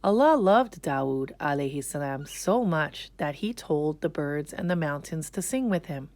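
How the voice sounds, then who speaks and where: A woman storyteller reading a children's storybook aloud in a deliberate, expressive way. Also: sits low.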